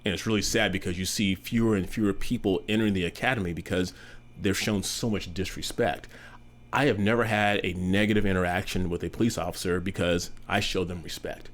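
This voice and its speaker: Very low voiced american guy, 50s, chill and candid, easygoing answers. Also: steady tone. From United States. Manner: candid, good-humored, measured. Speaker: interviewee. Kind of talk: casual interview answers.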